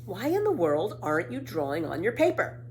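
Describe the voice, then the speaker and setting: Woman voicing female storybook schoolteacher, brisk, instructive, impatient, highly varied pitch, faint noise. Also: huge pitch swings, a little mellow. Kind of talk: children's storybook read aloud.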